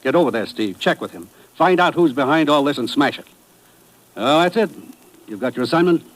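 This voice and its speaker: An actor playing a commissioner who briskly briefs an agent on a mission, in a 1950s radio drama. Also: male voice, fairly high pitch, animated, close to an everyday voice, poor audio. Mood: authoritative. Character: brisk official male commissioner giving orders.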